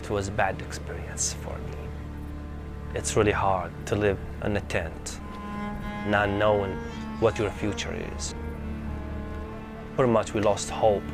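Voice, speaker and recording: Low, even-voiced Iraqi man. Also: unhurried, pauses often. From southern Iraq. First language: Arabic. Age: middle-aged. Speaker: documentary interviewee, former refugee. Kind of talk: personal testimony in an interview. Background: background music.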